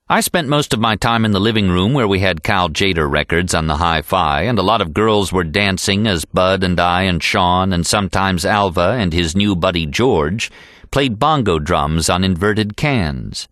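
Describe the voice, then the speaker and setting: A man reading an audiobook in an expressive, animated storytelling style. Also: low voice, no room sound, clean studio sound. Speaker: audiobook narrator. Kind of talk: expressive scripted audiobook narration.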